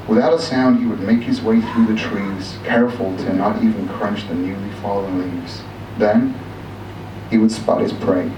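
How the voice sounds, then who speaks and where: A man's voice: lowish voice, natural voice. A man playing an obsessive, boastful aging hunter in a dramatic story read aloud, with pompous, old-fashioned, theatrical diction. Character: obsessive, boastful aging male big-game hunter, bald, wistful. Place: live reading in a room. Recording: echoey room from a distance, noisy.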